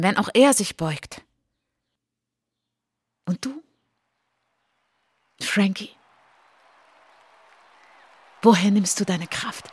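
Eine Schauspielerin spielt in einem literarischen Hörspielmonolog eine stolze, verletzte junge Französin, die nachdenklich, eindringlich und bedacht von sich erzählt. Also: female voice, on the low side, husky. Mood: sorrowful, hushed, then admiring, urgent. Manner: intense, deliberate, sometimes dropping to a whisper. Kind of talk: literary radio drama monologue.

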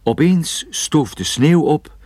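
An actor playing a cool private detective narrating his own case in measured radio play style. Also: on the high side, lively intonation, a little mellow, no room sound. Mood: measured, grave. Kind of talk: scripted first-person radio play narration.

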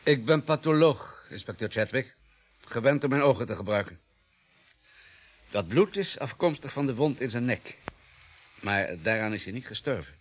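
A man's voice: medium pitch, animated, normal speed, long pauses, close to an everyday voice. A man acting in a radio drama as a matter-of-fact police pathologist with a precise, analytical manner. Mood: clinical, assured. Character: matter-of-fact male police pathologist, coolly reconstructing murders.

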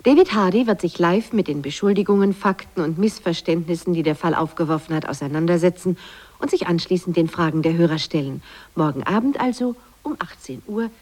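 A woman acting as a radio station announcer in an audio drama. Her voice sits a little low, and she reads with formal, measured composure. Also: darkish tone, natural voice. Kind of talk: scripted radio programme announcement within a drama.

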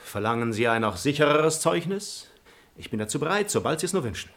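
Silver-tongued aristocratic seducer. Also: male voice, a little high, very animated, brisk, fairly bright tone. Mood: earnest wooing. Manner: flowery, silver-tongued, theatrical. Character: smooth aristocratic male seducer, ardent, deceitful, imperious when crossed. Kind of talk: classic comedy radio play acting, declamatory.

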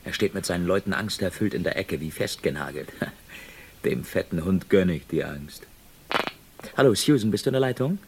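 A man's voice: low voice, animated, normal speed, gravelly, slight background noise. An actor playing a brash, gleeful, money-hungry male reporter in a radio crime drama, chummy and conspiratorial.

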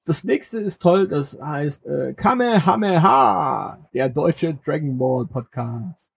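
A man with a very husky, breathy voice and a slightly high pitch, hosting a casual podcast in a wry, halting way full of fillers and restarts. The sound is dull and lo-fi, as from a modest home mic. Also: expressive. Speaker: podcast co-host and game master.

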